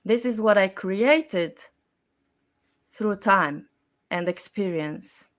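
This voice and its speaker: A woman speaking as an expert guest in an interview, explaining her points deliberately in short, emphatic phrases. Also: medium pitch, animated. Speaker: style and communication expert guest.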